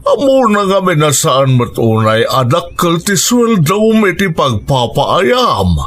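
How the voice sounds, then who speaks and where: An encouraging father in an Ilocano radio drama, acted by a man, advises his grown son. His voice sits high, swings widely in pitch and moves at a slow pace. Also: huge pitch swings, a bit bright, full character voice. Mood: advising.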